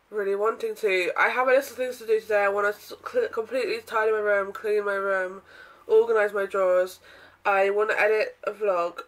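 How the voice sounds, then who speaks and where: A young woman vlogging casually from her bedroom, with a croaky voice from a sore throat, chatting in a rambling way with lots of ums and throat clearing. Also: mid-range voice, low-quality recording. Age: young adult. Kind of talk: casual day-in-my-life vlog. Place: bedroom, indoors.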